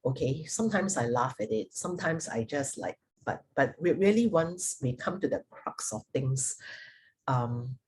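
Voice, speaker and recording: A middle-aged woman with a Singaporean accent giving a reflective personal talk, speaking deliberately and haltingly with thoughtful pauses. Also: very deep voice, expressive, darkish tone, breathy edge. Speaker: guest speaker sharing personal experience.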